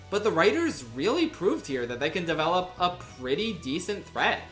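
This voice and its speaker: A man's voice: on the high side, pushed and bright. A young man narrating a comedic review with sarcastic, exasperated asides. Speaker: video reviewer. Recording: roomy echo.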